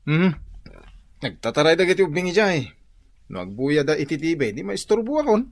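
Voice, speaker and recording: A man's voice: fairly high pitch, big pitch swings, moderate pace, dry recording. A man acting a sly, evasive, jumpy philandering husband in Ilocano radio comedy-drama. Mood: defensive.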